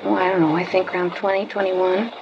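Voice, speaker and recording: A woman playing a wary fifteen-year-old schoolgirl informant in a 1950s radio drama, guarded and halting. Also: on the low side, level tone, natural voice. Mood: recounting. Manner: guarded, halting, evasive answers. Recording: dull lo-fi old radio recording, clear hiss.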